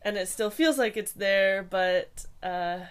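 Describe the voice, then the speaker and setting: A young woman from Hawaii, a podcast guest answering interview questions in a hesitant, thoughtful way with many pauses and restarts. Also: mid-range voice, smooth.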